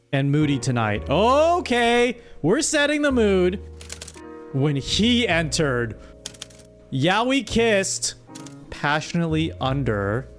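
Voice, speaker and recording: A woman hosting a casual livestream, playful and mock-dramatic as she reads out and comments on a story. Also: lowish voice. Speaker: streamer, host. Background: music.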